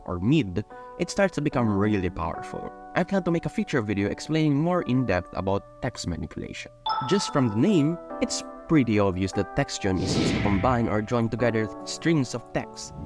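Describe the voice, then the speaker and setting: A young man with a Filipino accent talks through spreadsheet functions one step at a time, his pitch rising and falling in a lively way. The tone stays instructional and even-paced, and clear guitar music plays behind his voice. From Philippines. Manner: steady explanatory step-by-step delivery. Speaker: tutorial host. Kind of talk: screen-recorded software tutorial.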